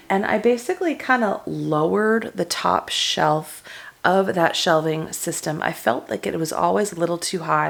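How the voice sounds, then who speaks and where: A woman in her thirties, hoarse from a cold, chatting her way through a pantry clean-out vlog with lots of ums. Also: on the low side. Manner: chatty, rambling with many ums. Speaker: homestead vlogger. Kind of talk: casual walkthrough vlog. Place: converted dining room pantry, indoors.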